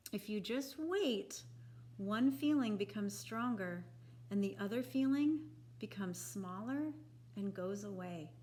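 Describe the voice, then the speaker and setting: A woman reading a children's picture book aloud in a deliberate, expressive, instructive way for young listeners. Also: medium pitch.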